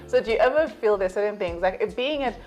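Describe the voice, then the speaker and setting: A young Zambian woman hosts a candid conversation, chatty and easygoing, laughing often and filling pauses with "you know" and "like". Her voice sits at an ordinary middle pitch, with soft music faintly underneath. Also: lively intonation, highs cut off. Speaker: podcast host.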